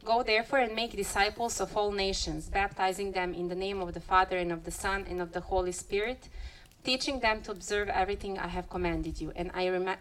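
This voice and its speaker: A woman, giving a halting, earnest church testimony. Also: on the low side, poor audio. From Serbia. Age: 30s. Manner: earnest, halting, searches for words. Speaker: youth choir member giving testimony.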